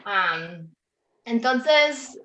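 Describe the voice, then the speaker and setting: A woman from Canada speaks slowly in non-native Spanish, searching for words as a panelist. Her voice is bright, and it comes through an echoey online call. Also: on the high side, animated, slight background noise. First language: not Spanish. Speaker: healthcare panelist. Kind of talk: unscripted panel answer.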